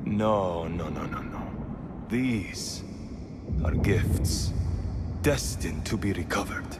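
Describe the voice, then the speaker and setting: An actor playing an arrogant, fanatical villain in a video game, with a haughty and theatrical delivery. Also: male voice, deep voice, expressive, takes it slowly, heavy background noise. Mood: fervent, menacing. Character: arrogant male zealot villain, cruel and fanatical. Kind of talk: dramatic video game acting.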